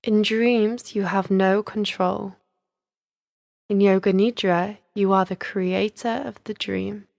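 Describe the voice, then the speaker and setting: A woman leading a guided yoga nidra relaxation as a teacher, speaking in a soothing, measured, instructional manner with gentle pauses. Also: mid-range voice, steady tone, vocal fry, dry recording, studio quality.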